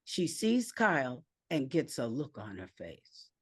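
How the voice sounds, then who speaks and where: A woman narrating a screenplay aloud with deliberate, measured phrasing. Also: extremely low pitch, sing-song, husky, no echo, clean studio sound.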